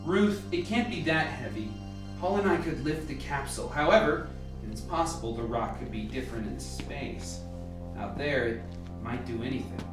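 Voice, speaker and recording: A woman's voice: very deep voice, animated, normal speed. A woman playing a methodical, skeptical male scientist in a radio play, with a terse, commanding and dry delivery. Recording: very echoey room, distant mic. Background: music.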